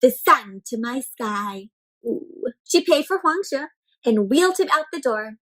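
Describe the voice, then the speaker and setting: A woman reading a picture book aloud to young children, animatedly addressing them with questions and pauses as she goes. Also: on the high side, animated, a bit bright, low-quality recording. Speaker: children's read-aloud storyteller.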